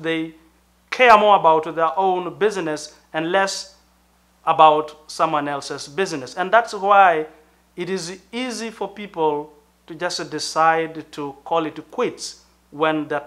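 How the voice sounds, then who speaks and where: A middle-aged Tanzanian man with a Tanzanian accent speaks as a pastor, earnest and measured, pausing to think. His voice sits a little high for a man and has a bright, forceful edge. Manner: earnest, measured, with thoughtful pauses and fillers. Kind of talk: sermon-like talk to camera.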